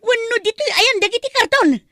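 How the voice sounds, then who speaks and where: A woman's voice: extremely high pitch, animated, fairly quick, pushed and bright, no echo, studio quality, heavily acted. A woman acting an obsessed, clingy and jealous girlfriend in an Ilocano comedy drama, with an Ilocano Filipino accent and a whiny, melodramatic manner. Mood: frantic, shouting.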